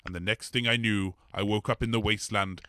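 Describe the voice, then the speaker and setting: A man's voice: fairly low pitch, fairly even intonation, brisk, pushed and bright, dry recording, studio quality. A man playing a polite, bumbling blob creature in a comedy audio drama, hesitant, rambling and apologetic. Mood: rambling, genial.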